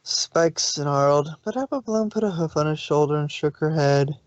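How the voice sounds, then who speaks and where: Narrator reading fanfic, medium pitch, close dry room, bit muffled. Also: normal speed, no echo. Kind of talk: fan fiction story narration. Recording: dull, lo-fi home recording.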